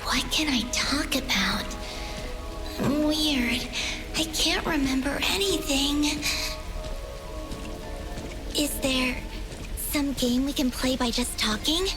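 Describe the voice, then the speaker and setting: A young woman investigator in a video game. She sounds playful and curious, with a high voice and a slow, easy pace. Prominent music plays behind her. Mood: curious, casual.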